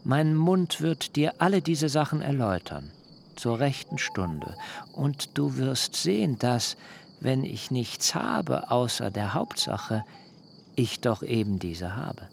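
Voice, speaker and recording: Slow, creaky-voiced, eloquent wanderer. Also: male voice, mid-range voice, sing-song, slight background noise, exaggerated voice. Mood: assured, persuasive. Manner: measured, ornate, declamatory. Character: destitute but proud, eloquent fugitive nephew, smooth-tongued. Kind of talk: literary radio drama acting.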